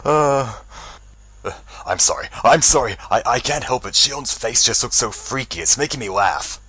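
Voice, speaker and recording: A man's voice: mid-range voice, lively intonation, moderate pace, pushed and bright, breathy edge, no echo. A man voicing a snarky teenage boy who delivers mocking, sarcastic jabs. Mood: giggly.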